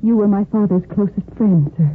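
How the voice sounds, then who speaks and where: Actress as an overwrought young nurse, old muffled radio. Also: female voice, medium pitch, close to an everyday voice. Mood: anguished, guilty. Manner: halting, earnest, melodramatic. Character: overwrought, exhausted young surgical nurse wracked with guilt. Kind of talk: 1950s radio soap acting. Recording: muffled, noisy 1950s radio recording.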